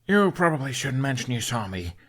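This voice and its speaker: An awkward young Viking runaway, played by a man in an audiobook. He speaks in a lifted pitch, hesitant and evasive but earnest. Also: sing-song, fairly quick, fairly bright tone. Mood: nervous, evasive.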